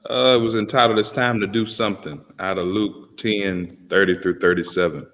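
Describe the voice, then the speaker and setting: A man in his twenties with a low, deep voice talks casually as a pastor on a panel. His call carries a long, hollow reverb. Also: steady tone, moderate pace, a bit bright. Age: 20s. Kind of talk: casual conversation.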